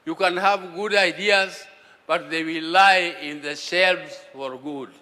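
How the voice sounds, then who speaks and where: Older Kenyan man, high bright tone, slow halting delivery, in a reverberant hall. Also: steady tone. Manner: earnest, halting, with repeated restarts. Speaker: elder speaker at a national forum. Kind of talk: public address. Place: large conference hall.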